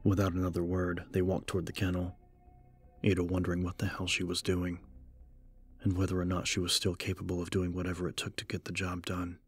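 A man narrating a scripted horror story as an audiobook, measured and serious. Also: deep voice, completely flat delivery, slightly rough, soft background music.

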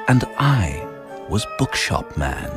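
An actor narrating a radio drama in a measured, deliberate, scripted manner. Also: male voice, on the low side, very animated, music in the background. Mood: plain announcing. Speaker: narrator and closing announcer.

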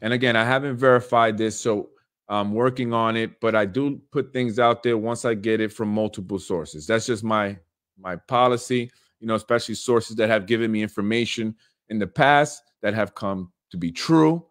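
A man's voice: lowish voice, normal speed. A man in his thirties hosting a casual political livestream from a small room at home, speaking earnestly with many fillers and repeated phrases.

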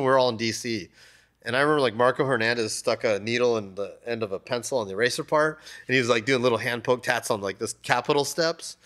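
A man in his thirties, a tattoo artist guest, talking casually and loosely, with lots of "like" and "you know", on a desk mic in a shop. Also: medium pitch. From United States. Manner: relaxed, rambling, many "like" and "you know" fillers. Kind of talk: casual interview talk. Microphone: desk mic on stand.

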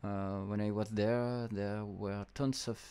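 A man's voice: fairly low pitch, flat delivery, slow pace, dark tone, clean tone. A young adult man giving casual gameplay commentary, translating on the fly in informal, slangy speech peppered with English words. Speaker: streamer playing a visual novel. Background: game music.

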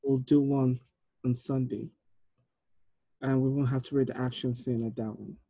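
A man in his thirties hosts a casual video call from a small room. His voice is mellow and medium in pitch, picked up dry and close on an earbud headset. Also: wildly swooping pitch, moderate pace, creaky, slightly rough, no room sound. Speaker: host, film director running a table read.

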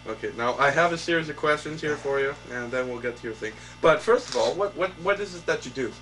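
A man's voice: on the high side, expressive, quick pace, fairly bright tone. A young man hosting a mock late-night talk show, mock-formal and hesitant, full of ums and restarts. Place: small indoor set, couch and table. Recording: distant camera audio with hum.

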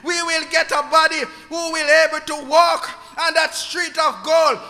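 A man preaching an impassioned sermon, often shouting in a large, echoing hall. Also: very high-pitched, steady tone, moderate pace, faint music behind. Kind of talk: fervent sermon. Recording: long hall reverb.

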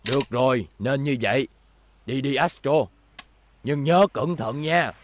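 A man's voice: medium pitch, unhurried, a little hiss or hum, close to an everyday voice. A man voicing a fussy, fatherly old professor in a cartoon dub, earnest and explaining. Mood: caring. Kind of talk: cartoon dubbing.

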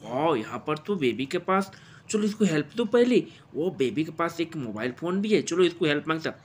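A man's voice: on the high side, very animated, normal speed, a little hiss or hum. An Indian man improvising play-by-play narration of toy play for children in an exclamatory way, with frequent wows and interjections. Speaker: presenter of toy play videos.

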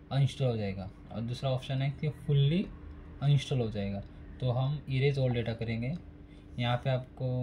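A man's voice: mid-range voice, dark tone, slight background noise. A man giving a casual step-by-step phone repair tutorial, speaking plainly and repeating each step while working at a desk. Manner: plain, repetitive, instructional.

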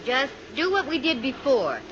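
A woman's voice: high voice, big pitch swings. Wry lady detective, noisy old radio. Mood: accusing. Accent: American. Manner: dry, wry, brisk. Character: shrewd, wry, gutsy female private investigator. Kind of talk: 1950s radio detective acting. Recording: muffled, noisy 1950 radio recording.

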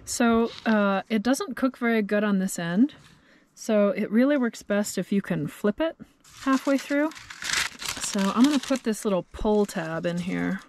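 A woman's voice: mid-range voice, expressive, unhurried, a little mellow, a little hiss or hum. A woman in her thirties vlogging from inside a parked minivan camper, cheerfully thinking aloud as she cooks. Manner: chatty and cheerful, thinking aloud while cooking. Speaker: van camping vlogger. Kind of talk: casual cooking vlog. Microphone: camera mounted in the van.

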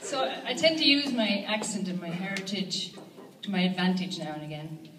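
A woman's voice: mid-range voice, moderate pace, very noisy. Irish female stand-up, young, dry punchlines; distant live-club recording, roomy, heavy crowd laughter. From Ireland. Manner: wry, deadpan storytelling with punchlines. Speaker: stand-up comedian. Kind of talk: stand-up comedy set. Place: comedy club stage before a live audience. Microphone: handheld mic, filmed from the audience. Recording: echoey club, from far off, with loud crowd laughter.

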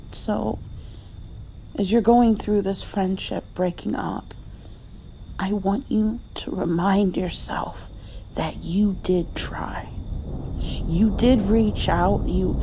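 A woman playing a loving mother who comforts her grown child in a tender, hushed ASMR roleplay. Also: medium pitch, background noise. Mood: soft, reflective. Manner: tender, soothing, sometimes dropping toward a whisper.

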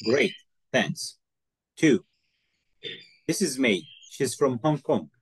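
A man teaching an English lesson over a video call, slow and patient, encouraging his student and repeating phrases. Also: mid-range voice, animated, slight background noise. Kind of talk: online one-to-one English lesson.

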